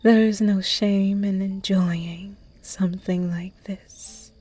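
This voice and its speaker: A woman voicing a seductive, predatory female vampire who teases her prey in slow, close, breathy whispers in an ASMR roleplay. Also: medium pitch, steady tone, dark tone, faint music behind. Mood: seductive teasing.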